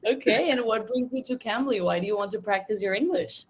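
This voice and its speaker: A woman's voice: a little high, a little fast, slightly rough. Friendly Dominican woman tutor. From Dominican Republic. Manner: friendly, encouraging, asks questions. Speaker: English tutor. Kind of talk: casual conversation lesson.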